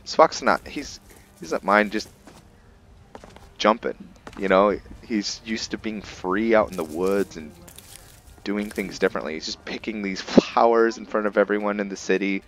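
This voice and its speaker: A man giving casual, improvised game commentary in a halting, think-aloud manner as he narrates a roleplay playthrough. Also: fairly low pitch, a bit bright, slight background noise. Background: background game music.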